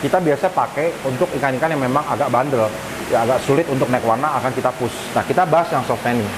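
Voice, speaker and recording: Male vlogger, medium-pitched, informal explainer talking to viewers as friends, noticeable hiss behind. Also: quick pace. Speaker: arowana fish keeper and vlogger. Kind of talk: casual explanatory vlog talk. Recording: clear hissy background noise. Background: steady hiss.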